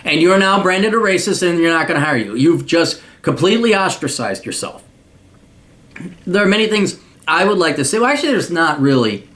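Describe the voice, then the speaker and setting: A man with a high-pitched voice rants his advice straight at the listener. He is blunt and crude, swears freely, and mocks the person he addresses while lecturing them on how the world works. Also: sing-song, a little fast, a bit bright. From United States. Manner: profane, mocking, lecturing. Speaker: podcast host giving paid advice.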